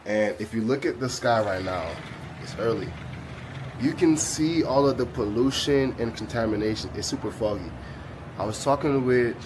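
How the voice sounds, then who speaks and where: A young man talking casually to camera in a vlog, relaxed and slangy. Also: medium pitch, slight background noise. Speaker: vlogger.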